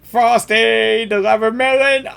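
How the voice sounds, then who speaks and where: A woman's voice: a little high, fairly even intonation, measured pace, vocal fry. A woman gamer giving casual reaction commentary, rambling, repeating words and exclaiming as she goes. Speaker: gamer reacting to a story quest. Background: game music.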